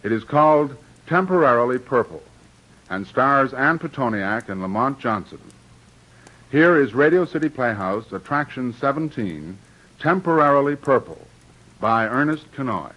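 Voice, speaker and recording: A man's voice: mid-range voice, expressive, normal speed. A man introducing a radio drama as its director and host, courteous and gracious. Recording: dull old radio broadcast.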